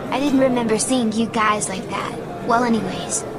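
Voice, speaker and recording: A woman's voice: on the high side, normal speed, close to an everyday voice. A woman playing an eager, friendly child in an audio drama. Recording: very loud crowd and ambient noise.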